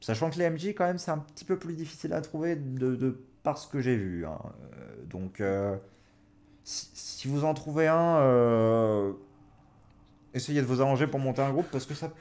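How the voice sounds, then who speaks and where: A man's voice: medium pitch, lively intonation, normal speed, darkish tone. A man in his twenties giving casual advice as a host, often hesitating as he thinks aloud. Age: 20s. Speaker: host, experienced game master giving advice. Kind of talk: casual chat, advice to beginners.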